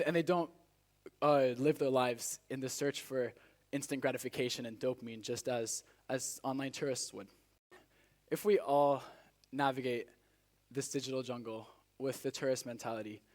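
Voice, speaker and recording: A teenage man raised in Spain delivers a rehearsed speech to a live audience as a student contestant, with earnest, deliberate, rhetorical phrasing. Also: medium pitch. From Spain, born in South Africa. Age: teenager, high school student.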